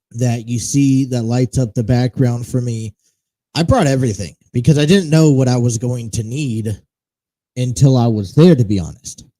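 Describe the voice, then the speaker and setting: A man's voice: medium pitch, mellow, low-quality recording. A man hosting a casual solo talk show, chatting in a rambling, self-correcting way. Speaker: host. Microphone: studio mic with monocaster setup.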